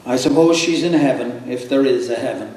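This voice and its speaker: A man's voice: mid-range voice, fairly quick, a little mellow, lightly acted. An Irish man voicing a grieving, weary widower in a live reading of a novel. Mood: mournful. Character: grieving, weary widower at his wife's grave. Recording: distant mic in echoey room, faint noise.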